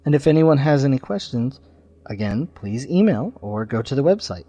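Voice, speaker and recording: A man's voice: mid-range voice, very animated, moderate pace, mellow, no room sound. Man hosting a podcast, dry close sound. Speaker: podcast host. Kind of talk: informal podcast announcements and reviews.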